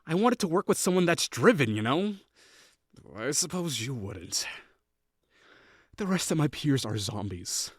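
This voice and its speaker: A man's voice: a little high, sing-song, slightly rough, dry recording, studio quality, full character voice. A young man playing a haughty, sarcastic college rival who is secretly lonely, in a scripted audio roleplay. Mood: wistful. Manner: sarcastic, scornful, quick to bristle.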